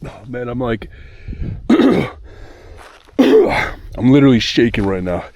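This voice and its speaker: A young man vlogging outdoors at a dump site, disgusted and exasperated, cursing casually while his voice goes hoarse. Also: medium pitch, expressive, slow, darkish tone, no room sound, dull audio. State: voice going hoarse. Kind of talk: casual vlog commentary. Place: outdoors at a remote dumping spot.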